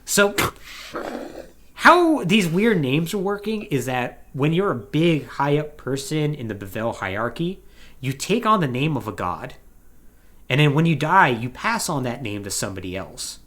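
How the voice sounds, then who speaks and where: A man's voice: a little high, normal speed. A man hosting a podcast, giving a casual, conversational recap of a story. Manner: animated, conversational, acting out paraphrased dialogue. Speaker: podcast host.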